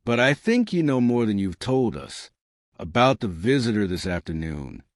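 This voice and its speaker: A man voicing an elderly woman detective in an audiobook mystery, quiet, measured and shrewd. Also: mid-range voice, sing-song, dry recording, studio quality, close to an everyday voice. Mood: quiet, probing.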